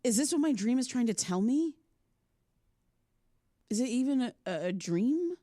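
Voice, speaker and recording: A woman's voice: mid-range voice, warm tone, no echo, studio quality, natural voice. An actress playing a troubled, haunted woman podcaster, earnest and hesitant, in a naturalistic audio drama. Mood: distressed, questioning.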